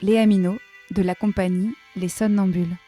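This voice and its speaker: A woman announcer reads out credits in a mid-range voice with a soft, dark tone. Faint music runs behind. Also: medium pitch, fairly even intonation, normal speed. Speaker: announcer reading cast credits. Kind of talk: scripted read-out.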